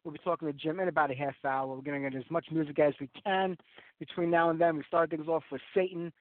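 A man's voice: on the high side, steady tone, quick pace, no room sound. A middle-aged man hosting a radio show, chatty and enthusiastic, sounding stuffy and congested from a sinus infection. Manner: chatty, enthusiastic fan talk. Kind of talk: casual radio talk. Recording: tinny phone-line sound, very dry.

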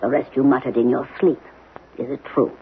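An actor playing a calm, frank psychiatrist in a 1970s radio drama, talking in a measured, probing way. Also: male voice, mid-range voice, lightly acted. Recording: lo-fi 1970s radio broadcast, noisy.

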